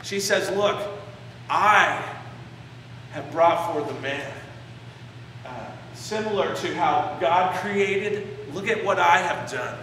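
A man in his forties preaches to his congregation, walking through a passage and pausing to stress key phrases. His voice sits high for a man. It comes from far off in a very echoey sanctuary with a long reverb tail. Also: pushed and bright, a little hiss or hum. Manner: explanatory, gestures while preaching, pauses on key phrases. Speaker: pastor. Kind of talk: sermon. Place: church sanctuary, indoors, medium-sized. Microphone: far camera in the pews.

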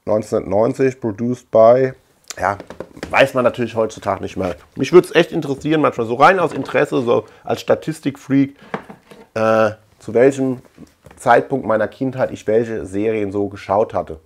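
A man's voice: medium pitch, normal speed, slight background noise. A man in his thirties talking casually in a chatty, digressive way, thinking aloud as he hosts a collector vlog. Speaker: host of a collector video channel. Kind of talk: casual rambling vlog talk. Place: small indoor room lined with shelves.

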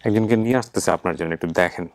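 A man's voice: lowish voice, rapid-fire. A man hosting a reunion show, asking questions in an informal, conversational way. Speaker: host of a family reunion show. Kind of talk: informal interviewing.

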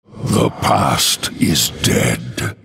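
An actor playing a cruel, imperious undead death knight king in a video game, with slow, menacing, commanding declarations in a deep gravelly rasp. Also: fairly bright tone, full character voice. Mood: imperious, solemn. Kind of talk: video game hero voice lines.

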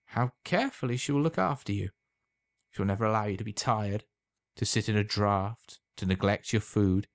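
A man voicing a sly, unhurried old potion seller. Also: fairly low pitch, no echo, studio quality, lightly acted. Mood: insinuating. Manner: unhurried, insinuating, emphatic.

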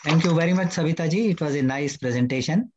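A man's voice: fairly high pitch, fast, noisy background. A man moderating an online poetry event, polite and formal as he introduces and invites guests. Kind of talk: event hosting. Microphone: video call. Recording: dull lo-fi call audio.

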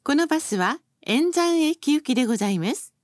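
A woman's voice: high voice, lively intonation, moderate pace, slight background noise. A woman reading scripted bus announcements as a recorded announcer, in a formal, polite and carefully enunciated manner.

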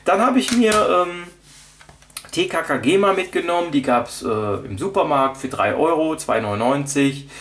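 A man's voice: a little high, animated, moderate pace, a bit bright, slight background noise. A man talking casually off the cuff in a show-and-tell vlog, rambling and hesitant as he thinks aloud. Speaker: vlogger showing audio drama collection. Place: indoors at a table, off camera.

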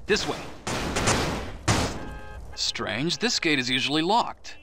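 Solemn male gangster for a crime game: unhurried, swooping, raised pitch. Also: very animated, heavy background noise. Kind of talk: video game voice acting. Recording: loud game music behind.

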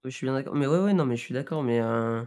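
A man's voice: medium pitch, fast, a little mellow. A man talking casually on a voice chat, hesitant and apologetic, as a server admin. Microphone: voice chat over computer mic.

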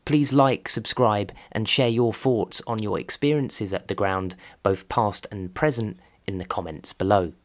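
Guy doing a scripted football history voiceover, lowish voice, measured and factual, dry recording. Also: no room sound. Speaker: narrator and host of a football history channel. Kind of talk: scripted documentary narration.

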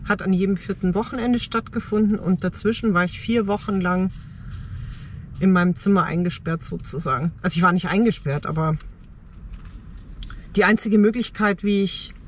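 A middle-aged woman shares personal reflections for a vlog from inside a car. Her medium-pitched voice moves in short, halting, thoughtful phrases. There is some faint noise behind her. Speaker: travel vlogger.

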